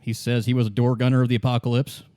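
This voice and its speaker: A man's voice: mid-range voice, level tone, fast talker, warm tone, clean tone, no room sound, clean studio sound, close to an everyday voice. A man playing a cynical, world-weary woman who smokes, in casual, evasive improvised dialogue for a tabletop roleplay. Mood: wry, musing.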